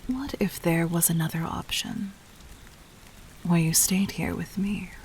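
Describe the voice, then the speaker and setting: A woman playing a gentle but commanding, seductive demon queen in an intimate audio roleplay, speaking softly and formally in a breathy near-whisper. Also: lowish voice, measured pace, slight background noise. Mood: composed, persuasive.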